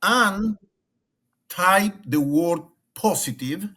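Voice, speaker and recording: This is a middle-aged man from Argentina whose first language is Spanish, and his Argentine accent colors every line. He speaks as a guest in a remote interview with a fairly high voice, filling pauses with "eh" and "then" and repeating words as he builds his point. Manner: animated, repeats words and restarts often, uses "eh" and "then". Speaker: guest, leadership consultant. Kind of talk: conversational interview.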